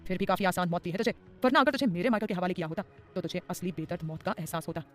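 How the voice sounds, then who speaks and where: A woman's voice: medium pitch, lively intonation, very fast, faint music behind, lightly acted. A woman playing an obsessive, menacing mafia boss. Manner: threatening, possessive, intense.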